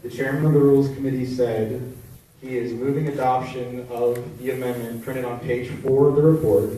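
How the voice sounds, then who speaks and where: A man's voice: mid-range voice, fairly even intonation, normal speed, warm tone, recorded from a distance, slight background noise. Insistent man questioning in an echoey hall. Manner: insistent, carefully checking wording. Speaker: delegate asking questions. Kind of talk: questioning at a meeting.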